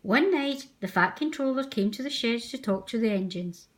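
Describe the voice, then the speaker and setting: A woman's voice: on the high side, lively intonation, moderate pace, a little hiss or hum. A woman narrating a children's storybook aloud. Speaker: storybook reader.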